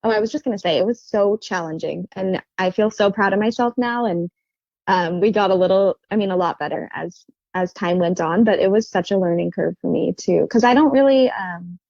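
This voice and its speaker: A young woman guest with a soft, quiet voice, speaking in a casual interview, hesitant with many fillers and restarts. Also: medium pitch, brisk, creaky, dry recording, lo-fi sound. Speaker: author and guest.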